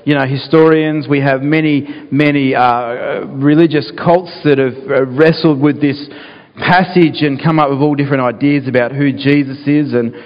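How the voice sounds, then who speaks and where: A man with a croaky voice, earnest and pausing with fillers, praying and reading scripture as a pastor in a sermon. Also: medium pitch, fairly even intonation. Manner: earnest, with frequent fillers and restarts. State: croaky, clearing his throat. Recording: dull sound, long church reverb.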